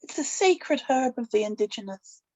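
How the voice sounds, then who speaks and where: A woman playing an older grandmother, gentle but firm and secretive, in a scripted table read. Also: fairly high pitch, lively intonation, moderate pace, close to an everyday voice, rough recording. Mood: measured, reflective.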